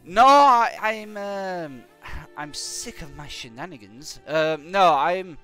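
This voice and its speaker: A young man with a British accent and a croaky voice from hay fever, chatting to viewers in a rambling, jokey way while he plays a game live. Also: high-pitched, slow, bright, forceful tone, soft background music. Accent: British English. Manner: rambling and jokey, hums nonsense syllables. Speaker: game streamer.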